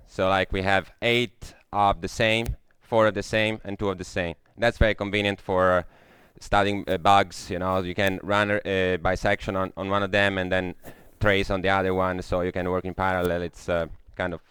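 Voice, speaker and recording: A man's voice: sits low, fairly bright tone, clear, resonant tone, no room sound, clean studio sound. A young Italian man with an Italian accent giving a technical conference talk, hesitant, with many fillers and restarts. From Italy. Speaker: conference speaker. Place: lecture room.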